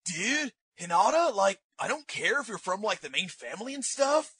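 A man's voice: high voice, big pitch swings, normal speed, a bit bright, slightly rough, dry recording, full character voice. A man voicing a smug, laid-back young surfer-dude ninja with a slacker drawl in a cartoon parody dub. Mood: lazy smugness. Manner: slacker drawl full of filler words. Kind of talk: comedy anime parody dub.

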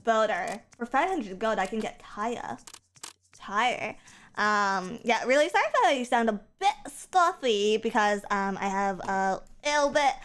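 A woman streaming a game in casual chat, a bit stuffy from a cold, going from low mumbles to loud excited yelling. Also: a little slow. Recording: game music behind.

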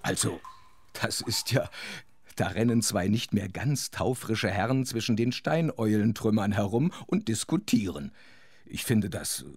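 An actor playing a wry, chatty storyteller who narrates a children's audio drama with playful asides. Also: male voice, fairly low pitch, slightly rough, no echo, clean studio sound, exaggerated voice.

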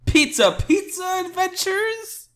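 A man playing a blunt, skeptical, excitable pizza customer in comic roleplay, with an incredulous and theatrical delivery. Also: extremely high pitch, takes it slowly, heavily acted. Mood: melodramatic.

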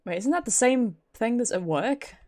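A woman's voice: on the high side, animated, moderate pace, mellow. A British woman giving casual, playful, sweary live commentary as she streams a video game. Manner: playful, sweary, chatting to viewers.

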